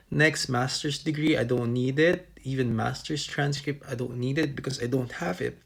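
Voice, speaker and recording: A man's voice: medium pitch, creaky, slightly rough. A young man from the Philippines walking viewers through a scholarship document checklist item by item, in a casual explanatory way. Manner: talks through a checklist item by item, with restarts and fillers. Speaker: vlogger giving scholarship application advice.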